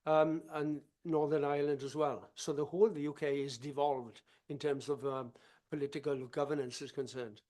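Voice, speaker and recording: A man's voice: on the high side, little pitch movement, moderate pace, dark tone. Hesitant Welsh man answering questions. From Wales. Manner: hesitant, restarting phrases, then firm. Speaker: panelist answering audience questions. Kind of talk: conference Q&A answer.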